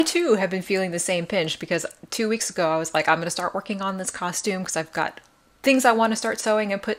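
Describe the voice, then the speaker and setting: A woman with a lowish, raspy voice co-hosting a podcast. She sounds worn out from talking but still speaks quickly and with emphasis. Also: a bit bright. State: tired from talking. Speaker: podcast co-host. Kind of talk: casual chat.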